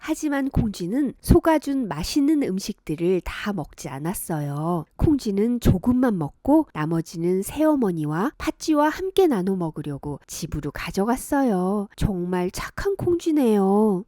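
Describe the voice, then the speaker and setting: Mellow, lively woman storyteller for children. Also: medium pitch, unhurried, few pauses, dry recording, studio quality. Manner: gentle, sing-song, addressing young children. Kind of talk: expressive children's storytelling narration.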